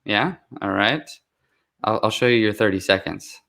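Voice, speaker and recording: A man's voice: lowish voice, moderate pace, vocal fry, a bit bright. A man hosting a live online interview, friendly and upbeat, now and then fumbling his words. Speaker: host. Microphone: video call.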